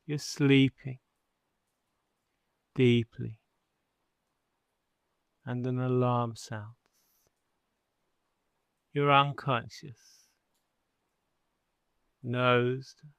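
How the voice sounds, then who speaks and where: Male hypnotherapist for guided sleep session: smooth, clean tone, soothing and unhurried, dry recording. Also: mid-range voice, little pitch movement, dry recording. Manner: slow, soothing, measured with long pauses.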